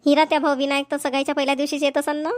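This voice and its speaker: A very high-voiced cartoon village woman played by an actress chatters quickly in a Vidarbha Marathi accent. She sounds homely, practical and matter-of-fact. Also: little pitch movement, lightly acted. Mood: chatty, practical. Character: chatty, practical village women neighbours planning an engagement. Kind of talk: amateur cartoon dialogue.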